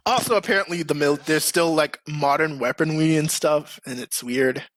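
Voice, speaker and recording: A man with a fairly high voice hosts a casual podcast. He talks informally with plenty of slang, and the sound is dry and close. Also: normal speed, a bit bright, no echo, rough recording. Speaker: podcast host. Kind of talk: casual group chat about games and movies.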